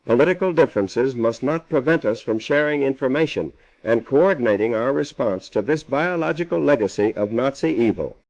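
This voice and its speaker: An actor playing a resolute American president, giving a formal, measured 1940s radio address in an American accent. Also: male voice, medium pitch, darkish tone, close to an everyday voice. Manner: measured, solemn, oratorical. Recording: dull vintage radio broadcast sound.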